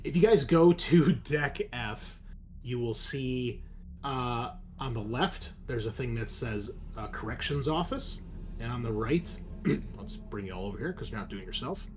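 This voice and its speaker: A man running a tabletop roleplaying game as game master, narrating casually with halting, deliberate pauses. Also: medium pitch.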